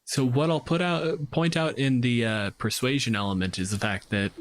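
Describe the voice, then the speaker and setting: A man's voice: mid-range voice, fairly even intonation, normal speed. A man hosting a casual podcast discussion on tabletop games, analytical and informal, with swearing mixed in. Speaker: podcast host.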